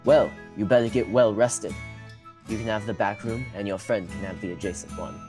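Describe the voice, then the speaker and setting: A man's voice: on the low side, fairly even intonation, moderate pace, lightly acted. A teenage male actor playing a friendly, protective tavern keeper in a student fantasy radio drama, with music behind. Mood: hospitable. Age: teens. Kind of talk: student radio theatre acting.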